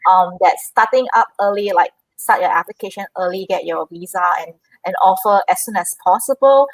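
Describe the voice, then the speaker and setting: A woman's voice: mid-range voice, a little fast, dry recording, poor audio. A woman university representative giving earnest, encouraging advice in a live online Q&A over a video call.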